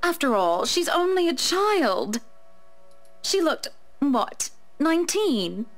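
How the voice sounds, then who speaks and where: A woman's voice: high voice, sing-song, slow, full character voice. A woman playing a poised, self-assured wealthy wife in a visual novel, genteel and gracious, over faint music. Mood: gracious, sympathetic.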